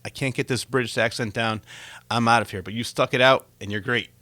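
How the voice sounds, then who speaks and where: Interview host, man, medium pitch. Chatty, supportive delivery, dry recording. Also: steady tone, fairly quick, pushed and bright, no room sound. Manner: encouraging, complimentary to the guest. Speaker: talk show host interviewing a guest. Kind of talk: conversational interview.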